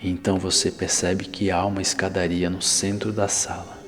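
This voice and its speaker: A very low male voice guides the listener through an immersive ASMR scene. He speaks softly and unhurriedly, leaving pauses and slipping close to a whisper. A long reverb surrounds him, with music playing behind. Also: level tone. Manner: hushed, unhurried, with soft pauses, sometimes near whisper. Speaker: narrator of a guided ASMR roleplay podcast. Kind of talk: slow immersive ASMR guided narration, second person.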